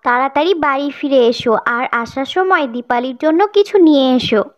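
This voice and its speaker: A woman acts sweet, girlish village family figures for a cartoon fairy tale. The voice is extremely high and youthful, on a slightly dull, lo-fi recording. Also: fairly quick, lightly acted. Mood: cheerful, caring. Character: village mother and her sweet young daughter. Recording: dull lo-fi sound.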